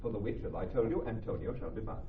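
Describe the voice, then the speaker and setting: A man's voice: fairly low pitch, fast, lightly acted. Off-mic, dull radio drama. Male actor as polite, eager young borrower, theatrical. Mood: pressing. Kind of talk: classical Shakespearean radio drama acting. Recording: distant, dull, faintly noisy radio recording.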